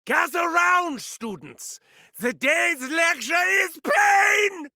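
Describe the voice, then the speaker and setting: An actor playing a gleefully sadistic German mad scientist villain in a video game, theatrical and grandiose, with a German accent and mocking, formal phrasing. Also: male voice, extremely high pitch, very animated, slow, pushed and bright, no echo, raised voice, clean studio sound, heavily acted. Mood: manic, gleeful. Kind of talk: video game character voice lines.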